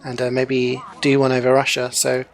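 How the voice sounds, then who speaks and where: A male esports caster talking over a live match at a middle pitch. His delivery is loose and conversational, packed with "you know" fillers and dry little jokes. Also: completely flat delivery, normal speed, vocal fry. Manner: chatty, heavy use of "you know" fillers, joking. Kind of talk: casual live game commentary.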